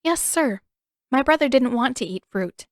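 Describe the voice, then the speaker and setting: A woman's voice: high-pitched, lively intonation, moderate pace, no echo, clean studio sound, pitched above the performer's own voice. A woman voicing a stubborn, sly teenage girl in an audiobook story, emphatic and exclaiming. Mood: forced politeness. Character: indignant teenage girl, stubborn, slyly cheerful schemer.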